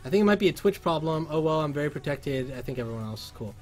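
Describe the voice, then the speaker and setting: An upbeat man from Cuba chatting casually on a livestream, his voice sitting a little high. He talks into a headset in an indoor studio, with faint music playing underneath. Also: expressive, quick pace. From Cuban. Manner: upbeat, reads chat aloud, sings along. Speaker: livestream host.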